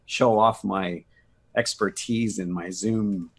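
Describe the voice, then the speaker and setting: A man's voice: lowish voice, fairly even intonation, slow. A man chatting casually as a guest on a video call, with a joking, self-deprecating way of talking. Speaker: guest on a live stream.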